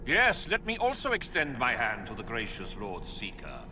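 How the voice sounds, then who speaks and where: A man's voice: lowish voice, huge pitch swings, moderate pace, music in the background. An actor playing a haughty, impatient nobleman, pompous and condescending, in a theatrical video game cutscene.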